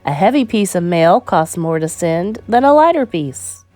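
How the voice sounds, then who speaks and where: A woman reading an educational children's picture book aloud in a measured, clearly phrased storytime delivery. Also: fairly low pitch, very animated, a little mellow, soft background music.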